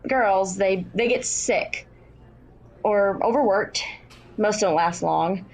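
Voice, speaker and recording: A woman's voice: medium pitch, slow, darkish tone, low-quality recording. A woman playing a frank, friendly and worldly young match factory girl in an audio drama.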